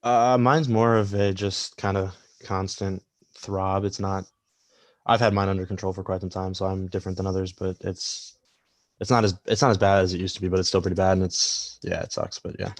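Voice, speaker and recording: A man's voice: deep voice, flat delivery, fairly quick, dry recording. A teenage boy from Minnesota on a panel, laid-back and mumbling, trailing off. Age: teens. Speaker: panel guest. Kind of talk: casual panel talk.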